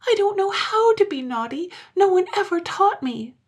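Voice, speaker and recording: A woman's voice: very high voice, very animated, normal speed, voice pushed up. A woman voicing a small, earnest, tearful girl who pleads wistfully in a children's storybook. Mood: wistful, helpless.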